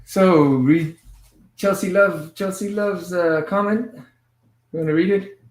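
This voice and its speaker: A man hosting a casual family livestream from home, moving easily between languages with a relaxed, filler-heavy way of talking. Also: high voice, slow. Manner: switches between languages mid-sentence. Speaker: livestream host and father. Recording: echoey room sound.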